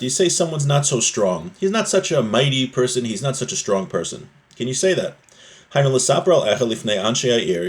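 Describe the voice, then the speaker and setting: Man teaching a religious text conversationally. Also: lowish voice. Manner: conversational, explanatory, often checking with "right?". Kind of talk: text-based religious lesson, reading Hebrew and explaining it in English.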